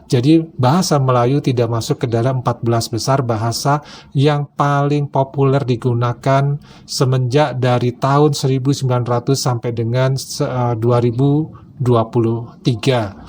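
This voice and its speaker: A man's voice: medium pitch, fairly even intonation, normal speed, darkish tone, slight background noise. A man hosting a video, giving casual explanatory commentary. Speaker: host of a reaction video channel.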